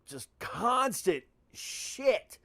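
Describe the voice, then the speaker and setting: A man's voice: high-pitched, big pitch swings, very slow, creaky, sharp tone, no echo. A man hosting a podcast in casual conversation, talking in an emphatic, slangy way with frequent swearing. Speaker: podcast host. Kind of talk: casual chat.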